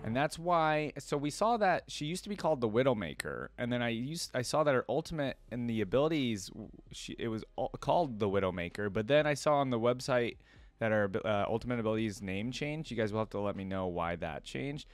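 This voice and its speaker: A man's voice: mid-range voice, animated, brisk, no room sound. A young adult man giving casual reaction commentary as the host of a video. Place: indoor desk setup. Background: game theme music.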